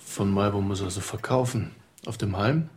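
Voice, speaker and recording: A man's voice: fairly low pitch, moderate pace, a little hiss or hum, close to an everyday voice. An actor playing a stern, proud middle-aged merchant and father in a radio drama, probing with clipped questions. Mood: guarded, calculating. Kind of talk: German radio drama acting. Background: music.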